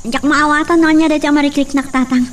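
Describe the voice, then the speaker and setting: Young woman, drama lead, very high pitch, emotional; distant mic, noisy room. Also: steady tone, a little fast. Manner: emotional, shouting at times. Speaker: voice actor playing a young daughter. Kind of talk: audio drama acting.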